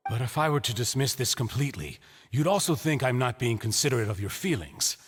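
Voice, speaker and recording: An actor playing a condescending middle-aged businessman in a video game, speaking in a measured, theatrical way with feigned kindness. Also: medium pitch, animated, slight background noise, natural voice. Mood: patronizing. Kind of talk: dramatic video game dialogue.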